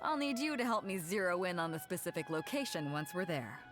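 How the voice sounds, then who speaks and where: A hearty, confident female sea captain in a fantasy game. Her delivery is lively and bold, with music playing behind her. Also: lowish voice, a little fast. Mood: easygoing, confident. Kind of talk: video game character dialogue.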